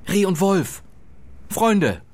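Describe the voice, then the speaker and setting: A man's voice: sits high, big pitch swings, extremely slow, a little mellow, exaggerated voice. A man playing a worried, flustered father in a radio play, hesitant and stammering as he talks. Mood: flustered, uneasy. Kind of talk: naturalistic radio play acting.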